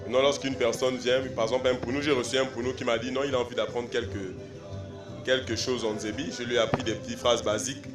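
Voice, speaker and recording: A young man from Gabon answers interview questions on the spot, halting and restarting his sentences. He sounds distant from the microphone, with a roomy echo and clear music playing behind him. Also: medium pitch, little pitch movement. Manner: hesitant, many fillers and restarts. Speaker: language stand organizer. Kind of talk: field interview.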